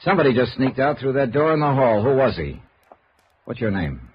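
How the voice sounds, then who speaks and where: A man's voice: medium pitch, very animated, a little fast, breathy edge. An actor playing a cool, pushy young male private investigator in a 1950s radio noir, wry and probing. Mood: pressing. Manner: wry, probing, hard-boiled. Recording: dull, noisy vintage 1950s radio recording.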